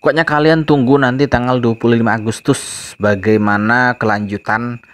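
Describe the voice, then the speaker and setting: A man's voice: mid-range voice, steady tone, normal speed, a bit bright. A man vlogging casually, reading social media posts aloud and explaining them with many filler phrases, voiced over a screen recording. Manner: repeats "ya teman-teman ya" often, reads posts aloud then explains. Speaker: crypto news vlogger. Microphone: phone mic, voice-over on screen recording.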